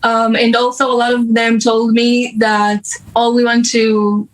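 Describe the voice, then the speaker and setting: A young woman giving an unscripted interview answer, halting as she searches for words. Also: on the high side, monotone, poor audio. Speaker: news interviewee, youth activist.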